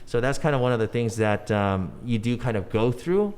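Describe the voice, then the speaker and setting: A man's voice: medium pitch, expressive, normal speed, a little mellow, a little hiss or hum. A man in his forties, a native English speaker, talking casually as a podcast guest with many restarts and fillers. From United States. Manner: rambling, frequent restarts and fillers. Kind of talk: casual conversational interview.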